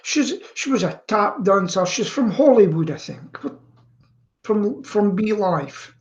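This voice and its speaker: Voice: mid-range voice, lively intonation, slow pace, a little mellow. Rambling chatter on a dull online call. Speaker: co-host of online chat show. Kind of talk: casual chat. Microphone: live streaming video call.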